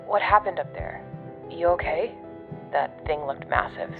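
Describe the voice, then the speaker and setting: A woman's voice: deep voice, sing-song, unhurried, gravelly, soft background music, heavily acted. An actress playing a tough, foul-mouthed woman guide in an audio drama, blunt and incredulous, heard through a radio communicator filter. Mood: relieved, amazed. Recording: tinny phone-like radio link.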